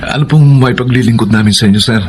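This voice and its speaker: A man's voice: medium pitch, quick pace, background noise, close to an everyday voice. A man with a Filipino accent playing a humble, hardworking, poor security guard in a radio drama, speaking politely and hesitantly. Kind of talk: Filipino radio drama acting and first-person narration. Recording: music bed behind the voice.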